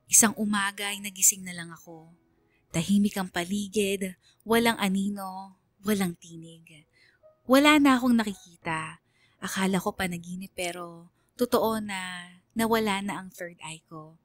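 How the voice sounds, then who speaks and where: A woman performing solemn, measured first-person narration as a haunted letter sender in a radio drama. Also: medium pitch, no room sound, natural voice.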